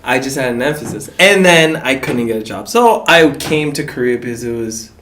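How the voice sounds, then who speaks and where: A young man in a mid-pitched voice chats casually as a guest, often stumbling over and restarting his sentences. A faint noise hangs behind him. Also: lively intonation, a bit bright. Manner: halting, stammering restarts. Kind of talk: casual chat.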